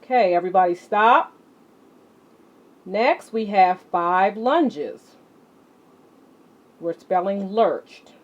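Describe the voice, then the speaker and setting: A woman teaching children as an exercise leader, speaking deliberately and emphatically, addressing her students directly. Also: medium pitch, animated. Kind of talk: instructional lesson to children, read-along with warm-up exercises. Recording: muffled audio with clear background noise.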